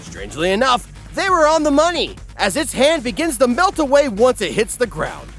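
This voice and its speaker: Wildly animated comedic male narrator. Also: very high voice, moderate pace. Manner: exaggerated, mock-dramatic, wry. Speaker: narrator of an anime parody recap. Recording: music behind the voice.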